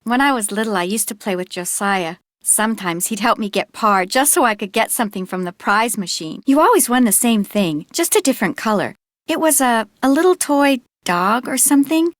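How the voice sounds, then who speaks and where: A woman's voice: on the high side, lively intonation, brisk, no room sound, clean studio sound, natural voice. A woman playing an anxious, grieving teenage girl in an adventure game, speaking in an earnest, hesitant way. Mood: guarded, downcast. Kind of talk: scripted adventure game dialogue.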